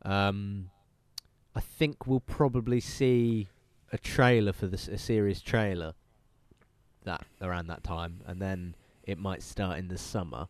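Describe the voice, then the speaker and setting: A man's voice: deep voice, fairly even intonation, a little mellow, no echo. A man co-hosting a casual podcast, rambling and swearing, with a bunged-up nose. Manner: rambling, sweary, quick to laugh. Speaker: podcast co-host. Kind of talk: casual chat.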